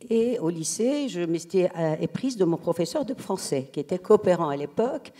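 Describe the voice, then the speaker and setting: Low, dark-voiced hesitant woman. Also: animated, normal speed. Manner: soft-spoken, hesitant, searching for words. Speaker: writer on a panel. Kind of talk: personal storytelling in panel talk. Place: theatre stage at a public literary event.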